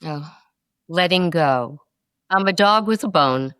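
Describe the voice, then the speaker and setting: A middle-aged woman from North Carolina, a podcast guest answering interview questions in quick, expressive stories. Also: on the low side, clear, resonant tone, no echo, clean studio sound.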